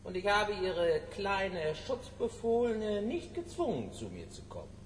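An actor playing a drugged, decadent villain in a radio drama, flippant and slippery, with a theatrical, mocking manner. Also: male voice, high voice, very animated, unhurried. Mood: patronizing. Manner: theatrical, mocking, wildly swooping. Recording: reverberant hall, faint noise.

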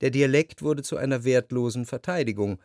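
An actor playing a reflective, possessive, melancholy gentleman who narrates a literary radio drama in a measured, articulate, introspective way. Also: mid-range voice, dry recording, studio quality, close to an everyday voice. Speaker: first-person narrator. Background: music.

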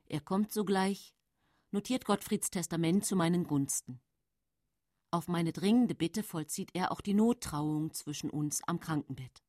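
An actress playing a calculating, self-pitying poisoner widow who narrates her own tale in a radio drama, with a husky voice. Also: fairly low pitch, a little slow, dark tone, no echo, studio quality, close to an everyday voice. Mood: urgent, self-excusing.

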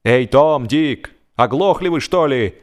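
A man voicing a boater who calls out loudly to companions far away. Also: medium pitch, animated, slow, voice pushed up. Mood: annoyed. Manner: shouting calls across a distance. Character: exasperated man calling from a drifting boat.

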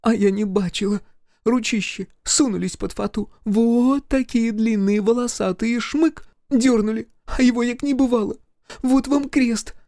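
A shrill wailing village bride. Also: male voice, measured pace, darkish tone, dry recording, studio quality. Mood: plaintive. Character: weepy young village bride, wailing and pleading.

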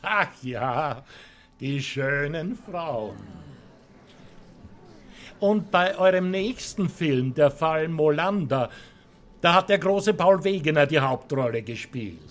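An actor with an Austrian accent playing a slick, jovial show host with a nasty streak, in a radio play. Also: male voice, on the high side, expressive, slow, long pauses, a little hiss or hum. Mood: jovial, chatty. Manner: gushing, theatrical presenter patter. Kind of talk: radio drama acting as a show presenter.

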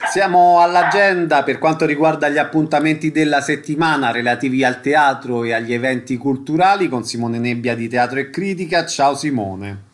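A man's voice: a little high, moderate pace, hardly any pauses, faint music behind. A man hosting a radio programme, interviewing guests and announcing in a studio. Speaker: radio host.

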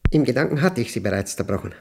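A man acting an obsessive, unravelling professor who dictates his diary in a German radio play, with emphatic, deliberate diction and short clipped phrases. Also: mid-range voice, animated, gravelly, noisy background. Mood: anxious, tormented. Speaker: radio drama actor.

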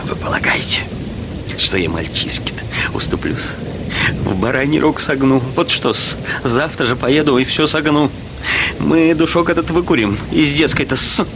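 An actor playing a middle-aged rival in a Russian radio drama, servile yet menacing, with a sly manner that often drops to a breathy hiss. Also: male voice, on the high side, expressive, normal speed. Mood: spiteful muttering. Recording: radio play with music underneath.

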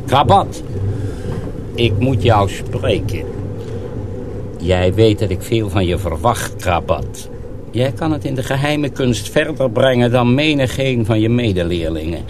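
An actor playing a stern, suspicious master of sorcery, measured and probing, in a radio drama. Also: male voice, mid-range voice, lively intonation, natural voice. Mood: grave, probing. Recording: noisy radio play recording.

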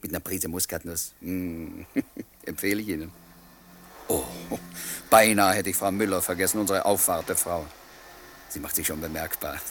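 A man's voice: deep voice, steady tone, moderate pace, pauses often, fairly bright tone, slight background noise. An actor playing a genial writer in his late twenties, narrating a radio drama and courteously confiding in his listeners. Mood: wry, genial. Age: 20s. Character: chatty, genial 29-year-old writer showing visitors around.

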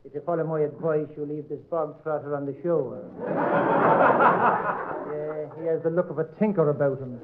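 A man's voice: on the high side, moderate pace, pauses often, close to an everyday voice. An actor playing a teasing, blustery old Irish boatman in a 1940s radio comedy. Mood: teasing, sweet-talking. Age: older. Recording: dull, noisy vintage radio recording.

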